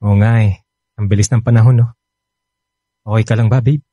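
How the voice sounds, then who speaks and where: Man acting mellow doting Filipino boyfriend. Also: fairly low pitch, steady tone, a little fast, no room sound, muffled recording, close to an everyday voice. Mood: doting, concerned. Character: attentive, worried young boyfriend Jasper. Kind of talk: Filipino radio romance drama acting.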